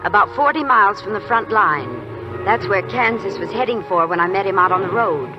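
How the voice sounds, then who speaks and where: An actress playing a soft-spoken wartime nurse. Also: female voice, medium pitch, expressive, moderate pace, over music, lightly acted. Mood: narrating. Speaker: army nurse and narrator. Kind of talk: 1940s radio acting. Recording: muffled 1940s radio broadcast.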